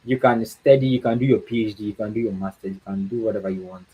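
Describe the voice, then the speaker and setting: A man's voice: fairly low pitch, fast talker, mellow, highs cut off, a little hiss or hum. A man from Ghana with a Ghanaian accent, speaking as a guest on a livestream talk, earnest and encouraging, often repeating words for emphasis.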